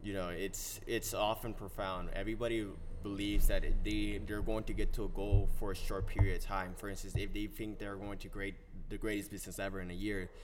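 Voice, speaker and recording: A man's voice: lowish voice, little pitch movement, a little fast, few pauses, background noise. A young man from Colombia, a podcast guest telling his life story earnestly and motivationally, with many restarts.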